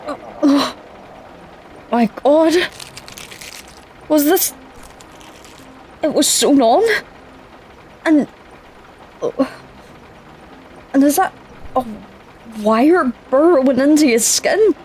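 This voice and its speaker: Woman playing a shaken woman commander, high voice, slow halting delivery, faint noise behind. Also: darkish tone.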